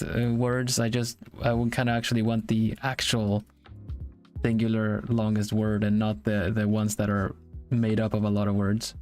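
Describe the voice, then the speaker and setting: Man, mid-pitched, casual streamer chat, halting with restarts, light music behind. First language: Swedish. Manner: halting, repeats words while searching for them.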